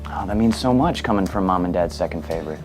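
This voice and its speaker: Man playing a low, wry teasing brother. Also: lively intonation, fast talker, over music. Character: joking, sarcastic brother who survived cancer. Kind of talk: acted radio advertisement dialogue.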